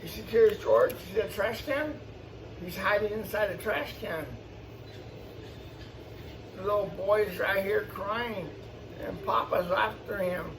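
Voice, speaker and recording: A man's voice: sits high, a little slow, creaky, long pauses. A man hosting storytime, reading a children's picture book aloud with exclamations and explanatory asides for young listeners. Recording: distant mic, faint background noise.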